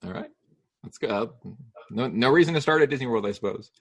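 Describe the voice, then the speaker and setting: A middle-aged man hosting a casual interview podcast, upbeat and quick with his questions, laughing easily. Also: mid-range voice, husky. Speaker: podcast host.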